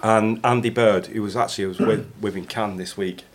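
A man's voice: lowish voice, a little fast. A man from Bolton with a Northern English accent, a podcast guest telling stories in casual, swearing, laughing chat. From Bolton, England. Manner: animated, swearing, laughing storyteller.

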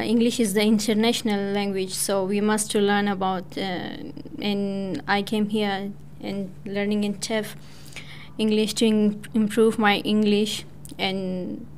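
Flat-voiced Pakistani woman, slow speech. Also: medium pitch, slight background noise. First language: Persian. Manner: hesitant, halting non-native English with fillers. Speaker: English student speaking on community radio. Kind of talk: prepared personal talk.

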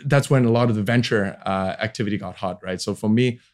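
A man in his forties from Hong Kong speaks as a guest in a casual interview. His voice sits fairly low, and he feels his way through sentences, often dropping in "you know" as he goes. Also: quick pace, a little mellow. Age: 40s. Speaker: podcast guest, venture capital founder. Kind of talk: casual interview talk about own career.

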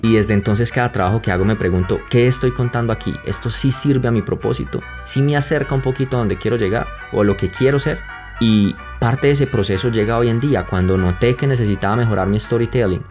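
A man's voice: medium pitch, fast. Young Colombian man telling his story. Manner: reflective, conversational, speaks straight to listeners. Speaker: podcast host. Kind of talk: personal storytelling podcast. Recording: music playing under the voice.

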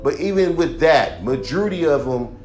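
A man in his thirties hosting his own podcast, a rapper ranting bluntly about music with heavy swearing and a voice he calls monotone. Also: a little high, moderate pace. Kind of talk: profane casual rant.

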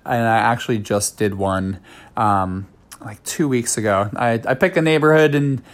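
A man hosting his podcast, voice thick with a cold. He chats candidly in a middling pitch and keeps wandering into asides, ums and little self-corrections. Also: normal speed. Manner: chatty, candid, many fillers and self-asides. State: has a head cold. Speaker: podcast host. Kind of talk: casual solo podcast talk.

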